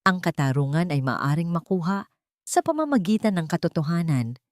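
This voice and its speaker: A woman's voice: fairly low pitch, animated, normal speed, no echo, clean studio sound. A woman with a Filipino accent reading a scripted dramatic story as an audiobook narrator.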